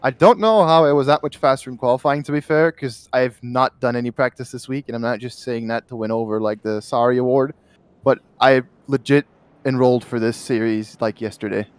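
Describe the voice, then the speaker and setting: A man's voice: medium pitch, brisk, smooth, no room sound. A man from Belgium, a sim racing driver, chatting casually and modestly in an interview over online voice chat.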